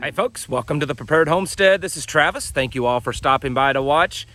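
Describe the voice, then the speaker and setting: A man hosting his own channel, talking casually with a raspy, worn-out voice and many fillers and restarts. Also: fairly high pitch, brisk, music in the background. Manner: rambling, frequent fillers and restarts. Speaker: channel host. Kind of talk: casual talk to camera.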